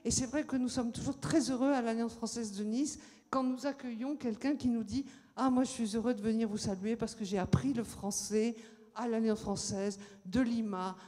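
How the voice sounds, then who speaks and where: A woman's voice: a little high, mellow. A woman giving a formal introduction of a guest speaker, hesitantly searching for words, in a reverberant lecture hall. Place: lecture amphitheatre.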